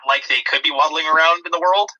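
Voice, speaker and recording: A man with a strikingly bright, sharp voice that sits a little high. He talks casually as a podcast co-host reviewing movies, slipping in dry, wry remarks. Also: fairly even intonation, fast talker, lo-fi sound.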